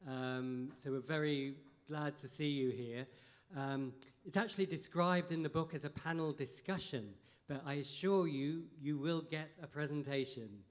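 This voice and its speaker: A man's voice: on the high side, a little slow. Middle-aged man chairing a session. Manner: relaxed, chatty with fillers. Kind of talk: introducing a session. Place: large conference hall. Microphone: handheld mic.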